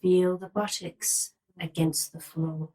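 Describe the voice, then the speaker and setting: Female yoga nidra guide: slightly low register, unhurried, very slow delivery, measured and soothing. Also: fairly even intonation, dark tone, poor audio. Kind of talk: guided relaxation meditation, slow instructions with long pauses.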